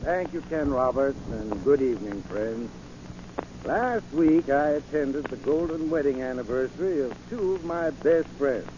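A man reading a scripted 1940s radio commercial as spokesman, folksy and measured. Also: mid-range voice, expressive. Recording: muffled, hissy 1940s radio recording.

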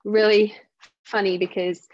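A woman from South Africa with a softened South African accent, a guest telling stories in a casual interview and acting out what other people say. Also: medium pitch, unhurried, no echo, lo-fi sound. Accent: South African, softened by years in the UK. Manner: animated storytelling, acts out other people's remarks. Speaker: guest speaker.

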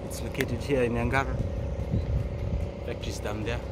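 A man's voice: mid-range voice, moderate pace, heavy background noise. A young man from Fiji talking casually as he films a road trip vlog, outdoors and in a car, with plenty of fillers. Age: young adult. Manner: relaxed, chatty, lots of fillers. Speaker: vlogger. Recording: loud background music.

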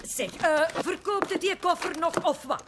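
Man doing a high, excitable Flemish woman. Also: unhurried, background noise. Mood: pushy. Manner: pushy, excitable. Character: feisty, haggling middle-aged woman shopper. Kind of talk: comic book read aloud in voices.

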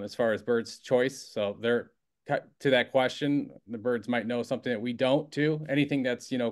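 Middle-aged man, bird seed expert, smooth resonant voice, measured and hesitant on a video call. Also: fairly low pitch. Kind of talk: casual panel chat.

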